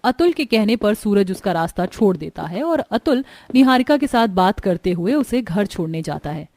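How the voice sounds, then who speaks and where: A woman storyteller reading scripted narration for an animated tale. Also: mid-range voice, moderate pace.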